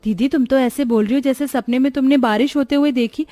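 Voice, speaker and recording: Woman as high-pitched teasing little sister. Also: steady tone, brisk, dark tone, dry recording, lightly acted. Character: teasing, jealous younger sister, a village girl. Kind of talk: Hindi cartoon dialogue.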